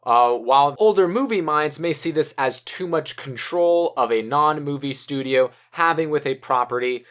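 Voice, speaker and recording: A man giving video essay commentary on games and film, speaking emphatically with drawn-out key words and pauses. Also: fairly high pitch, fairly bright tone.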